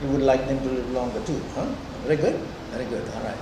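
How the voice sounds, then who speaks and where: An older man lectures in a very reverberant room, picked up from a distance over loud background noise. He talks deliberately and conversationally, putting questions to his students. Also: medium pitch. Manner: deliberate, conversational, checks in often with "okay" and "huh". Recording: echoey lecture room, distant mic, noisy.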